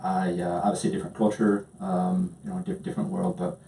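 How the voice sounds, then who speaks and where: French-accented man, low mellow voice. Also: big pitch swings, fast, creaky. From France. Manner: hesitant, many ums and restarts. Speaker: interview guest. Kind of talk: casual storytelling. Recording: roomy, distant mic.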